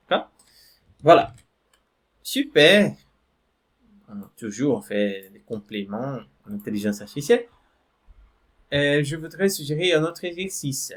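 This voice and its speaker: Man teaching French slowly. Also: medium pitch, fairly bright tone. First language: Portuguese. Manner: slow, repeats "d'accord?" and "voilà" to check in; reads screen text aloud while explaining. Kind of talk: online language lesson.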